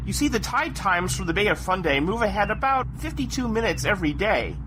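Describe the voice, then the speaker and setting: A brisk, nerdy female lab director, acted by a woman in an educational comedy skit. Her mid-pitched voice swings expressively as she explains, over clear background noise. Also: big pitch swings, natural voice. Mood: dry, correcting.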